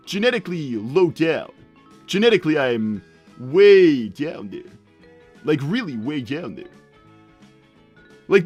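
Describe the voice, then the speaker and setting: A man plays a short, crude cartoon hedgehog dad in improvised livestream banter. The voice is a little high and very lively in pitch, with halting, repetitive, matter-of-fact oversharing. Faint music plays behind it. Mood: rambling, self-deprecating.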